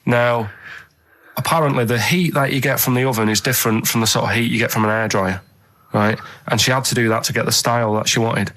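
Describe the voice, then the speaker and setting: Radio guy, medium pitch, matter of fact, rambles through stories casually. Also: fairly even intonation, fast talker, a bit bright. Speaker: radio show regular. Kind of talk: casual rambling anecdote telling. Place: radio studio.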